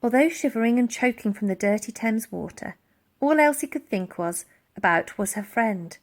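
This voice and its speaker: A woman reading a children's story aloud in an expressive, dramatic storytelling style. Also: a little high, normal speed. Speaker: audiobook reader.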